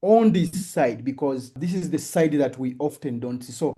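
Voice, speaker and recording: Mellow man with a measured, reflective tone. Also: medium pitch, slightly rough. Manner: measured, reflective, stumbles on restarts. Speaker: guest. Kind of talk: casual interview chat.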